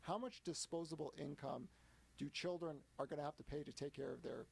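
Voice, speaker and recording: A man in his early forties explaining things as a guest, halting and restarting his sentences often. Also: a little high, creaky, husky. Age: 40s. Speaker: guest analyst. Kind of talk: casual interview explanation.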